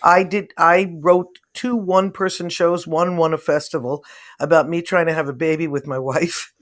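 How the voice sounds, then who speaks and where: A guest speaking earnestly and from the heart on a casual talk show. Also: male voice, fairly high pitch, steady tone, moderate pace, fairly bright tone. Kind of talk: casual video-call talk.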